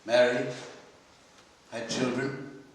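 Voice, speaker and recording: A man reading literary fiction aloud at a live event, with a measured, dramatic delivery and pauses. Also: mid-range voice, little pitch movement, slight background noise. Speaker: story reader at a literary festival. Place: library hall at a live festival. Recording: echoey hall, distant microphone.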